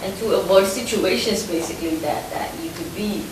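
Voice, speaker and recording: A woman's voice: mid-range voice, brisk. A young woman raised in Finland, talking reflectively with lots of "you know" and repeated starts. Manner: many fillers, "you know", hesitant repeats. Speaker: interviewee. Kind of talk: reflective casual talk. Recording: echoey, distant mic with hiss.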